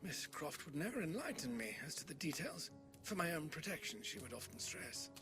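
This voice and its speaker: Proper polite butler, highish voice, formal and understated, game cutscene w music. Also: male voice, very animated, fairly quick. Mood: courteous, reminiscing. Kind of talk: video game cutscene acting.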